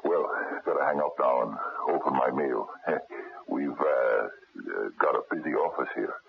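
Very deep, gravelly retired cop. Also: male voice, measured pace, noisy background, heavily acted. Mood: stern warning. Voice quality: gravelly, husky. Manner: blunt, streetwise, hesitant phrasing. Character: gruff retired policeman turned honest private investigator. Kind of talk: 1970s radio drama acting. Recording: muffled narrow phone-like radio recording.

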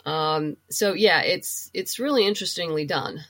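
A woman's voice: on the low side, moderate pace, fairly bright tone. A woman being interviewed as a podcast guest, explaining things thoughtfully in a casual, conversational way.

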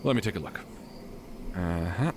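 Actor as low-voiced dry sarcastic friend, noisy background. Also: male voice, lively intonation, normal speed. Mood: curious. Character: dry, sarcastic, tired male friend after work. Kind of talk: naturalistic audio drama acting.

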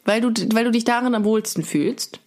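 A woman's voice: on the high side, fairly quick, darkish tone. Woman chatting casually on a podcast. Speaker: podcast host. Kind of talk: casual humorous podcast chat.

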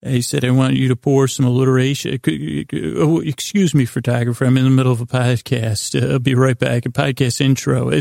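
A man with a creaky voice hosting a bedtime podcast, improvising a halting, meandering, self-deprecating monologue that slips into imagined dialogue. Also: medium pitch, darkish tone, no room sound, clean studio sound. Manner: acts out imagined back-and-forth dialogue in his own voice. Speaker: podcast host.

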